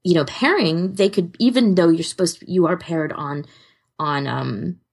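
A woman's voice: fairly low pitch, normal speed, husky. A woman co-hosting a podcast, chatting casually and informally. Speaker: podcast co-host.

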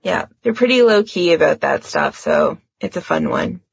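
A woman's voice: lowish voice, sing-song, normal speed, creaky, lo-fi sound, slight background noise. A woman co-hosting a fan podcast, chatting in an informal, easygoing way. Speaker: podcast host. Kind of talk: casual fandom podcast chat.

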